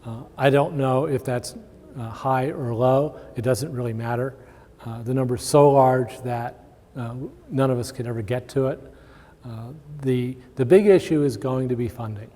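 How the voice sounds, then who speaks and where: A man gives an informal conference presentation in a relaxed, mellow voice. He speaks at a middling pitch, and the hall adds a long reverberant tail to his words. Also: normal speed. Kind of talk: informal conference talk with audience questions. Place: large indoor conference room with long reverb.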